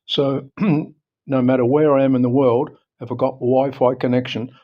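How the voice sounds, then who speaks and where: A man with an Australian accent giving an informal, unscripted how-to talk in a halting, repetitive, explanatory way, recorded a little dull on a device microphone. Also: mid-range voice, little pitch movement. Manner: halting, explanatory, often repeating himself. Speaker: presenter of a phone how-to video.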